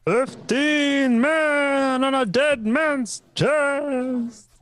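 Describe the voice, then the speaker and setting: A performer voicing the eerie ghost of a dead pirate captain in a radio play, calling out in drawn-out cries. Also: high voice, fairly even intonation, a bit bright, exaggerated voice. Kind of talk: live radio play acting.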